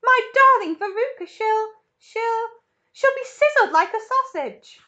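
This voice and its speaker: A woman's voice: high-pitched, very animated, fairly quick, sharp tone, highs cut off, voice pushed up, full character voice. A woman voicing a panicky, shrieking rich mother in a storybook reading. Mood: frantic. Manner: shrieking, frantic.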